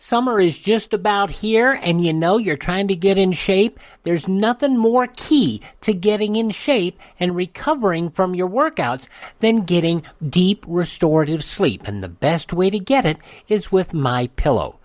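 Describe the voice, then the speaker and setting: A woman's voice: fairly low pitch, lively intonation, a little slow, dry recording. A woman delivering a scripted advertising read as a radio announcer. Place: dry studio.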